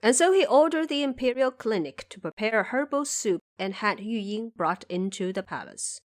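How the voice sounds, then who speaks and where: A woman's voice: a little high, expressive, normal speed. A woman giving scripted storytelling narration for an audio drama.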